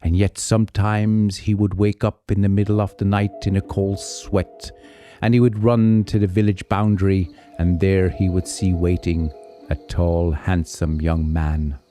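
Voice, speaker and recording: A man narrating a folk tale as a storyteller, with theatrical, deliberate phrasing. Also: low-pitched, little pitch movement, warm tone, faint music behind.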